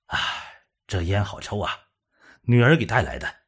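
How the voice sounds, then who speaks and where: A middle-aged father behind bars, played by a male audiobook reader, gentle and weighed down with sorrow. He speaks low and subdued, with a husky edge to his words. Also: sing-song, normal speed, heavily acted. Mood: pleased.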